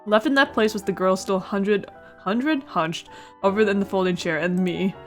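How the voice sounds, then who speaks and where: A woman's voice: mid-range voice, moderate pace. A woman hosting a casual let's play, reading a visual novel aloud with playful asides and stumbles over words. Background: music.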